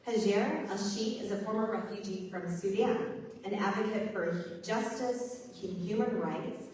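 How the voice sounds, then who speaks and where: A woman's voice: medium pitch, unhurried, darkish tone. A woman moderating a conference panel, friendly and self-deprecating as she introduces the speakers. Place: conference hall stage. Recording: roomy hall, distant mic, faint noise.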